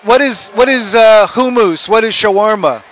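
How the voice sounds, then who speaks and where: A man interviewing people at an outdoor festival, asking questions haltingly and switching between two languages. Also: sits high, fairly bright tone, lo-fi sound. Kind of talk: bilingual street interview.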